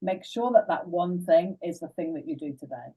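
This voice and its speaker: A woman's voice: lowish voice, moderate pace, dark tone, breathy edge. A woman hosting an interview, an English teacher asking thoughtful questions. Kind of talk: interviewing a guest. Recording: distant mic.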